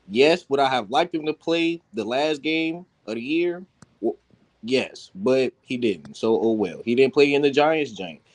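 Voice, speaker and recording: Insistent, slangy man arguing sports. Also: mid-range voice. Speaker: sports talk panelist. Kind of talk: heated casual sports debate.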